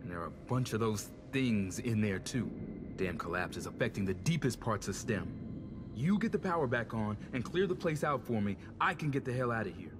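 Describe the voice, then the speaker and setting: A man's voice: fairly low pitch, animated, normal speed, over music, lightly acted. Actor as a casual, wry tech guy. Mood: businesslike. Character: savvy, self-interested male tech operative, wry and casual. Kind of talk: video game cutscene acting.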